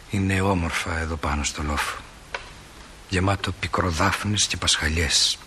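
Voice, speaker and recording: A folksy small-town stage narrator with a low, crisp-edged voice. He muses to the audience with gentle, reflective wryness. A faint layer of noise sits behind him. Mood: calm, descriptive. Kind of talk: theatrical narration addressed to the audience.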